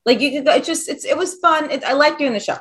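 Rambling enthusiastic woman, higher voice. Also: fast talker, fairly bright tone. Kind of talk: casual chat.

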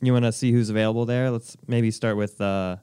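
A man's voice: mid-range voice, steady tone, a little fast, heavy background noise. A man co-hosting a podcast in casual chat, polite with wry asides. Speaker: podcast co-host.

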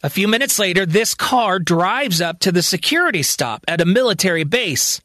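A man narrating a science-fiction story in a calm, conversational storytelling manner. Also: high voice, moderate pace, no echo, faint music behind. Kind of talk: spoken sci-fi story narration.

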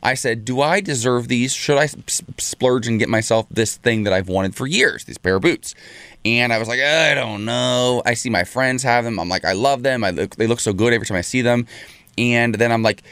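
A man co-hosting a morning show, chatty and excitable, a bit nasal from a cold. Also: mid-range voice, moderate pace, smooth, no echo. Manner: chatty, excitable, restarts words. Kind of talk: casual chat.

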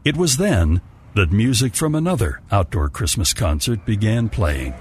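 A man's voice: lowish voice, very animated, moderate pace, breathy edge. A man reading a scripted story as its narrator.

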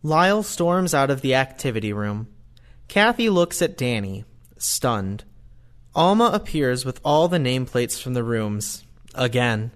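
A narrator voiced by a man reading stage directions for an audio drama. His mid-pitched voice moves expressively through the scripted lines. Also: very animated, a little slow. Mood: neutral.

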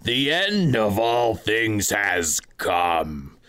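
A man voicing a disguised thief who poses as a saint, crying out in a high, slow, piercing preacher's voice. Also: sing-song, fairly bright tone, smooth, heavily acted. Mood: prophetic, shrill. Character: master thief posing as shrieking saint.

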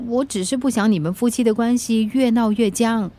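An actress playing a caring, gently nagging friend in a radio drama, reassuring and solicitous, with a Singaporean accent. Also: female voice, on the high side, a little fast, natural voice. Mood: concerned, helpful.

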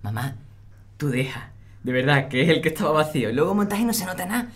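A man's voice: fairly high pitch, expressive, brisk, natural voice. A male actor playing an exasperated teenage son who films at home, with an accent from Spain, in a naturalistic audio drama. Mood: bossy, impatient. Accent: Spanish from Spain.

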